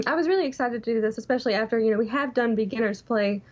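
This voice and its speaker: A woman's voice: a little high, fast. A woman calling in as a guest on an interview show, chatting casually over an internet call. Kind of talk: casual chat. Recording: dull lo-fi call audio.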